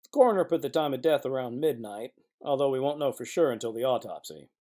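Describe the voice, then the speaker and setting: An actor playing a gruff, no-nonsense police chief in a noir audio drama, brusque and businesslike. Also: male voice, medium pitch, lively intonation, fast, warm tone, lightly acted. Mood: brisk, businesslike.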